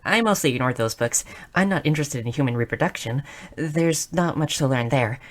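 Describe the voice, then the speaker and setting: Woman acting a shy young goblin girl, deep for a female voice, nerdy, excitable, curious, slightly stammering, fantasy audio roleplay. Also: brisk. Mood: earnest, fascinated. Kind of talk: scripted audio roleplay addressed to the listener.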